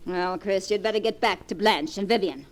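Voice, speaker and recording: An imperious old grandmother, played by a woman in a vintage 1940s radio play. She speaks at a middling pitch with dry, cutting scorn and aristocratic amusement. The recording has the sound of old broadcast drama. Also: moderate pace, lightly acted. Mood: sly, amused.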